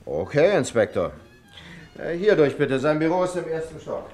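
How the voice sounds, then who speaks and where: A man's voice: fairly high pitch, big pitch swings, moderate pace, a little mellow, soft background music. An actor playing a slick, affable front man of a gambling club in a German radio drama, stalling politely. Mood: affable, evasive.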